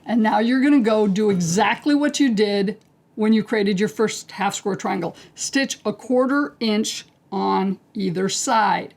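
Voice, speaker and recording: A woman teaching a quilting technique step by step, calm and unhurried, clearing her throat as she is losing her voice. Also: medium pitch. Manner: calm, unhurried, talks through each step as she works. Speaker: quilting instructor. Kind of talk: step-by-step craft tutorial.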